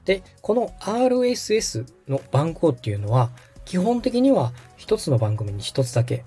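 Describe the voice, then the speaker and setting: A man's voice: fairly high pitch, wildly swooping pitch, normal speed, dark tone, husky, faint music behind. A man hosting a podcast, politely and methodically walking someone through a setup step by step. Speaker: podcast host and radio director giving step-by-step guidance. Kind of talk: instructional walkthrough in dialogue.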